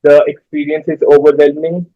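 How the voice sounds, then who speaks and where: A man's voice: on the high side, flat delivery, moderate pace, no echo, dull audio. A young man introducing himself to a workshop group, hesitant and full of fillers. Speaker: workshop participant. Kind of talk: self-introduction.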